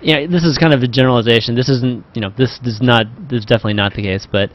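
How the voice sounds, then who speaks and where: A man's voice: mid-range voice, steady tone, racing. A man hosting a casual panel talk over a video call, rambling and hedging as he goes. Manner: rambling, hedging with "you know". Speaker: talk show host. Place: indoor room on a video call. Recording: dull, muffled online call audio.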